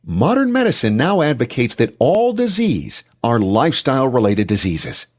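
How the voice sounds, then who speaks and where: A man's voice: medium pitch, huge pitch swings, moderate pace, darkish tone. A man giving a motivational health talk in an emphatic, declarative manner.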